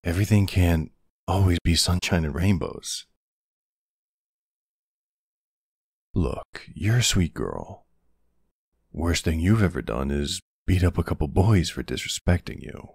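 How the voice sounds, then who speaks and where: Man acting a very deep, gravelly older boyfriend. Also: expressive, darkish tone, dry recording, clean studio sound, exaggerated voice. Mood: gentle reassurance. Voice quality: gravelly, husky. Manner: slow, hesitant, soothing. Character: protective older ex-military boyfriend, gruff but tender. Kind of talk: intimate ASMR boyfriend roleplay.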